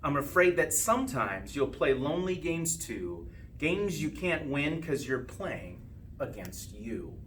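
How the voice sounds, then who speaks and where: A man reading a rhyming children's storybook aloud with expressive, sing-song phrasing and drawn-out line endings. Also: fairly high pitch. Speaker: school principal reading a storybook. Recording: distant mic with faint background noise.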